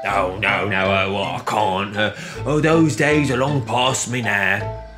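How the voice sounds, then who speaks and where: A man's voice: fairly low pitch, fairly even intonation, a little slow, over music, natural voice. A man voicing a flustered, modest ageing steam engine in a children's story, with a hesitant, stammering, gushing way of talking.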